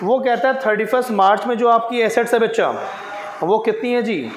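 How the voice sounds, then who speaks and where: Male tutor, high pitch, repetitive question-led board teaching, noisy room recording. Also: a little fast. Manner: question-and-answer teaching, answers own questions aloud; repeats key figures and phrases. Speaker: accountancy tutor. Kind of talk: classroom lesson at a board. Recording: echoey room with clear noise.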